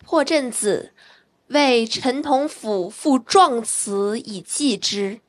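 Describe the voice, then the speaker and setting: A female student reciting a classical poem in a measured, deliberate way. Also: fairly high pitch, animated.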